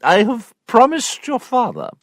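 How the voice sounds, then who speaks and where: A shrill, haughty middle-aged aunt, as a male narrator puts her on, lecturing in a lofty, affected tone that swoops through a wide range of pitch. The voice sits far above the narrator's own, slow and dry, with no room sound. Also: wildly swooping pitch, a bit bright, no room sound, exaggerated voice.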